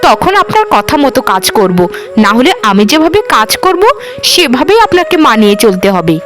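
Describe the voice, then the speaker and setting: A woman's voice: on the high side, big pitch swings, moderate pace, a bit bright, soft background music. A woman voicing a stubborn but caring young housemaid in a cartoon, with an argumentative manner. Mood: defiant. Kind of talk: cartoon voice acting.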